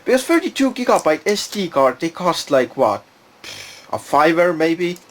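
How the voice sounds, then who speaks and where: A man's voice: fairly high pitch, very animated, moderate pace, a bit bright. A man giving a casual, unscripted product demonstration, thinking aloud and hesitating as he goes. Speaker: product reviewer.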